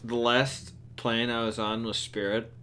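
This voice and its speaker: A young man chatting and telling stories casually on a voice chat, in an animated, exasperated, sweary way. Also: mid-range voice, measured pace, clear, resonant tone. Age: young adult. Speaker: streamer on a group voice chat. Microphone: computer mic on a voice chat. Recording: noisy voice chat with clicks.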